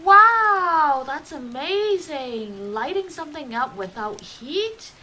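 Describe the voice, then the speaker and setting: A woman's voice: high-pitched, lively intonation, slow pace, sharp tone, slight background noise. A woman co-hosting a scripted children's show, speaking expressively to young children.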